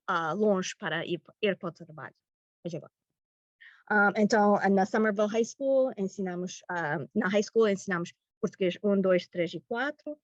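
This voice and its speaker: A middle-aged woman from Massachusetts, a teacher presenting informally and haltingly, mixing in words. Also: mid-range voice, dry recording. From Massachusetts, United States. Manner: halting, mixes in English words. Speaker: high school language teacher presenting. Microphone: video call. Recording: muffled lo-fi webinar audio.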